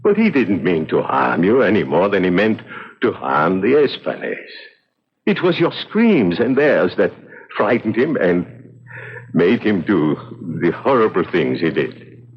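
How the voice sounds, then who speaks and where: An actor playing a poor, guilt-ridden man confessing in a 1970s radio drama, pleading and excitable as he justifies himself. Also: low-pitched, a little slow, breathy edge, heavily acted. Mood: defensive, desperate. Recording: dull, lo-fi old radio recording.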